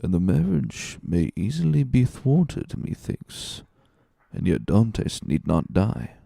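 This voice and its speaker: A man plays a scheming, scornful plotter in a classic storybook drama. The voice is mellow with a creaky edge. He talks with sly, measured condescension. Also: on the low side, very animated, no echo, studio quality, heavily acted. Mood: calculating. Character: cunning, scornful, smooth-talking male schemer.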